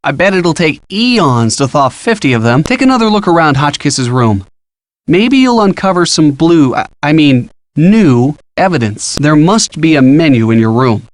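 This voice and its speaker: An eager young male sidekick from a detective video game. His voice sits fairly high, and he gives earnest, encouraging hints, clearly scripted, in a dry, close recording. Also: sing-song, moderate pace, no room sound. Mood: helpful, coaching. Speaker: hint-giving game character.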